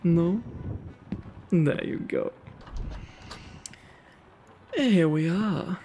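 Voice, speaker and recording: A man's voice: high voice, drawn-out words, warm tone, soft background music, full character voice. A man playing a kind, genteel vampire host in an ASMR roleplay, with doting, courteous, old-fashioned phrasing. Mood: reassuring.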